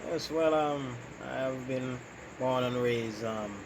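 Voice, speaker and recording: A Jamaican man who runs his own channel talks through interview questions in an easy, unhurried way. He pauses often and fills the gaps with ums and you-knows. A steady hiss of background noise sits under his voice. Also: medium pitch. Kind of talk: casual interview answers about his life. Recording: noisy background.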